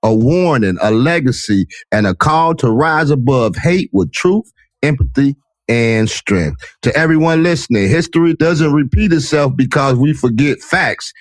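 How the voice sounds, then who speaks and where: A man hosting an interview podcast in an energetic, motivational manner with casual slang. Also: mid-range voice, measured pace, dry recording. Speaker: podcast host.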